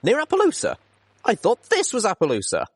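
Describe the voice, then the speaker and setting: A man's voice: high-pitched, huge pitch swings, a little fast, husky, no room sound, pitched above the performer's own voice, exaggerated voice. A man voicing a young female pony heroine, earnest, naive and easily startled, in a storybook reading. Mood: baffled. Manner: astonished, naive questioning.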